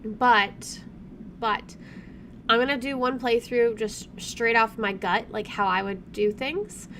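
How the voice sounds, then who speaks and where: A woman with a rather high voice narrates her own video game playthrough. She is casual, chatty and playfully wry. There is clear room noise from an air conditioner behind her. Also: slow, fairly bright tone. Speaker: gaming video host. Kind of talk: casual playthrough commentary, reading game text aloud. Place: indoors at home, air conditioner running.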